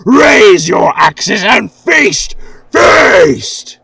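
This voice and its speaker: A bloodthirsty vampire warlord of the frozen north, as a storyteller puts him on, roaring his war chant in a high, strained bellow. The voice is husky and sounds set back from the mic. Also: sing-song, very slow, bright, forceful tone, shouting, recorded from a distance, exaggerated voice. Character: savage vampire barbarian warlord, bloodthirsty, male.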